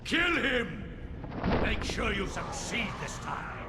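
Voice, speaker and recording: A man voicing an arrogant, cold male villain in a video game cutscene, giving slow, menacing orders. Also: sits high, sing-song, heavily acted. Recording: echoey room with loud noise.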